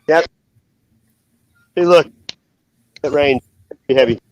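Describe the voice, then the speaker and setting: A man's voice: on the high side, takes it slowly, vocal fry, darkish tone, no echo. A man chatting casually on a live stream outdoors, filming with a chest-mounted phone, rambling and swearing now and then. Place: outdoors in bright sun. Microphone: phone camera on chest.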